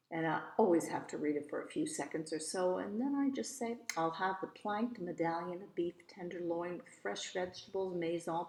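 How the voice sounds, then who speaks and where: A woman's voice: lowish voice, a little mellow, close to an everyday voice. A woman reading as a precocious, self-assured little rich girl in a storybook, matter-of-fact and haughty with dramatic flourishes. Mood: imperious. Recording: roomy, echoey voice recording.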